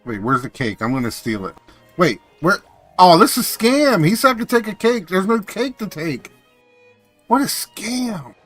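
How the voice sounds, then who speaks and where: A man livestreaming a game with casual, playful, joking commentary and animated reactions, close on a streaming mic. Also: sits high, a little slow, faint music behind.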